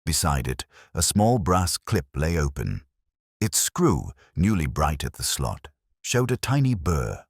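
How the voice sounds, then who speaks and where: A man narrating a mystery story as a scripted reading, in a measured, dryly literary manner. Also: a bass voice, very animated, husky, dry recording, studio quality.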